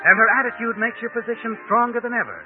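An actor playing a knowing, insinuating narrator in an old radio suspense drama, speaking dramatically. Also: male voice, extremely high pitch, lively intonation, fast. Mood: suspenseful. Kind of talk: 1940s radio suspense narration, second person. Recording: tinny old radio recording with music.

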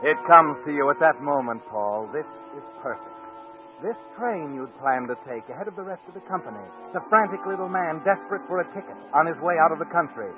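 An actor playing a knowing, insinuating narrator of a 1940s style radio thriller, deliberate and suspenseful. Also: male voice, a little high, expressive, natural voice. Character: omniscient, knowing narrator addressing the schemer, insinuating. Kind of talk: 1940s radio dramatic narration in second person. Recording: tinny old radio broadcast, music behind.

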